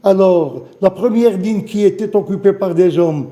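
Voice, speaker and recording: Older algerian guy, high pitched but super dark tone, telling his memories haltingly on a news show. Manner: halting, repeats phrases, emotional storytelling. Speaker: witness guest. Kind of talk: spoken testimony in a studio interview.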